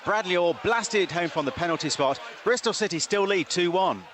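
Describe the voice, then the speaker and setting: A man reports live from a football ground. His voice is high, and there is clear outdoor noise behind it. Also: expressive, fairly quick, fairly bright tone.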